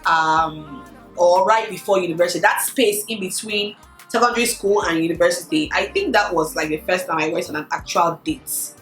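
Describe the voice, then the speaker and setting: A woman's voice: lowish voice, moderate pace, a bit bright. A woman in her thirties with a Nigerian accent, chatting casually to her viewers, often repeating words and laughing. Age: 30s. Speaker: vlogger. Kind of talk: casual chat answering a tag. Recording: echoey room with faint music.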